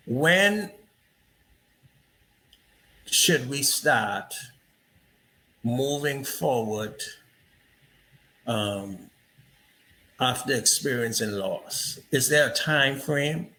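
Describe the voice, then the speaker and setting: Male host, slow and reflective, bright forceful tone, slight background hiss. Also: mid-range voice, expressive, low-quality recording. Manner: reflective, storytelling with asides like "you know". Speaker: interview host. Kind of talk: casual interview conversation.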